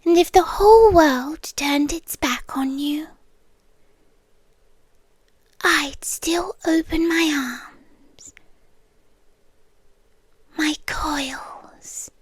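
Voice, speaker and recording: British woman as a slow, whispering possessive monster girlfriend. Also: high voice, no echo. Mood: tender, menacing. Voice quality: breathy whisper. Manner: slow, hushed, soothing and controlling. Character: possessive, doting female serpent-coiled monster girlfriend, yandere. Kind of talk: whispered ASMR girlfriend roleplay. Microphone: close ASMR microphone.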